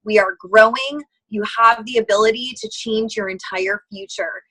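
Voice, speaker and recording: A woman on a conference call giving a casual motivational sales pitch, earnest and encouraging in manner. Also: moderate pace, a bit bright, rough recording. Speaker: sales team member.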